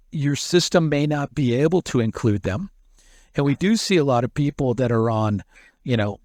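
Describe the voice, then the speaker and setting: A man's voice: medium pitch, a little mellow, no room sound, clean studio sound. A man being interviewed as an expert, explaining a technical topic in long run-on stretches and often repeating words as he restarts. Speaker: SEO expert guest.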